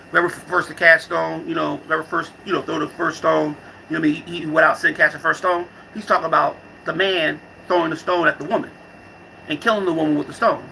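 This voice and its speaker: Casting: male vlogger, very bright forceful voice with gravelly fry, loose profane rambling, slangy and irreverent. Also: on the high side, a little hiss or hum, low-quality recording. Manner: repeats and restarts phrases often. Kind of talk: rambling casual monologue with comic riffs.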